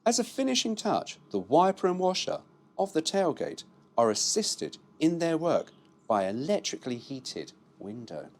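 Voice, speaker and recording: A man's voice: on the high side, sing-song, normal speed. A man reading a brochure aloud as a presenter, dropping in cheeky, irreverent, sweary asides.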